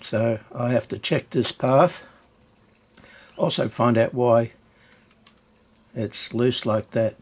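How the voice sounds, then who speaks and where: A man giving a casual, unscripted hands-on demonstration as a hobbyist video host, hesitant and halting as he thinks aloud. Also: medium pitch, steady tone.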